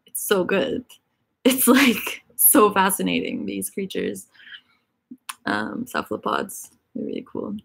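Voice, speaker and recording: Woman chatting on a live stream, reads viewer questions out loud, lots of likes and ums, raspy low fry. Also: wildly swooping pitch, slow pace. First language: Egyptian Arabic. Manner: chatty, filler-heavy, reads questions aloud. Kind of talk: casual live-stream chat reading viewer comments.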